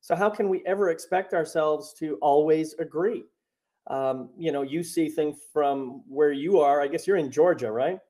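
A man's voice: a little high, normal speed. Rambling man hosting a call. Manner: warm, rambling, with many fillers and restarts. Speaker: podcast host and coach. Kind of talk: casual spiritual podcast chat.

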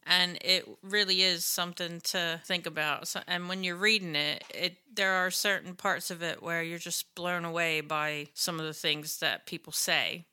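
A woman co-hosts a casual podcast, teasing her partner and laughing easily. Her voice sits fairly low but sounds bright. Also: steady tone, normal speed, few pauses, clean tone. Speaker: podcast co-host.

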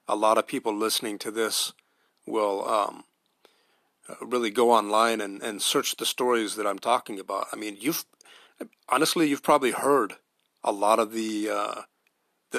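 A man's voice: fairly low pitch, fairly bright tone, no room sound, clean studio sound. A man with an American accent giving an earnest, emphatic opinion monologue, pressing his points with rhetorical questions. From United States. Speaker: podcast host.

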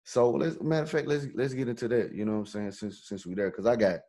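A man's voice: medium pitch, lively intonation, fast talker, mellow. A man hosting a casual chat, laid-back and slangy. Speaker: host.

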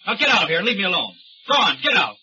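Muffled old radio recording, cornered young man, high sharp voice, defensive, haughty, melodramatic. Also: sing-song, very fast, breathy edge, heavily acted. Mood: indignant. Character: indignant young gentleman student, cornered murder suspect.

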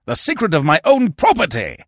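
A man reading aloud voices a hot-tempered older gentleman who roars and talks emphatically. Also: on the high side, huge pitch swings, normal speed, no echo, clean studio sound, pitched above the performer's own voice. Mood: roaring. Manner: loud, emphatic, roaring.

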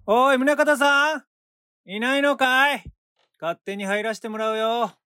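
An actor playing a blunt art patron in a radio drama, talking brusquely and colloquially, then narrating in a hushed voice. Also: male voice, very high-pitched, fairly even intonation, measured pace, smooth, dry recording, exaggerated voice. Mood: impatient. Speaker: former patron of the painter. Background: background music.

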